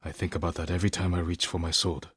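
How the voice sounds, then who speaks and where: An actor playing a stoic, honorable samurai warrior in a video game, speaking in a measured, formal and grave way. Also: male voice, deep voice, level tone, fairly bright tone, breathy edge, no echo, clean studio sound. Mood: measured, resolute. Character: stoic, honorable samurai warrior, adult man, solemn and protective. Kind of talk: dramatic video game acting.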